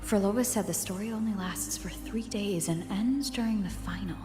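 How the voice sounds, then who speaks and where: An actress playing a determined young woman adventurer in a video game, measured, analytical and serious. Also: mid-range voice, echoey room, big-room sound, over music, natural voice. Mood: pensive.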